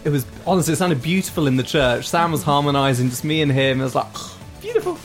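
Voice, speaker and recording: A man hosting a radio show, playful and teasing, quick to laugh. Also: a little high, big pitch swings. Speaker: radio host. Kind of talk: casual studio banter. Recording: music playing in the background.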